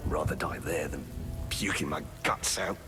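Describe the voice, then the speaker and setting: An actor playing a sick, stubborn soldier, gruff and set on fighting, in a video game. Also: male voice, very deep voice, normal speed, noisy background. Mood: bitter, determined. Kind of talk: video game character acting.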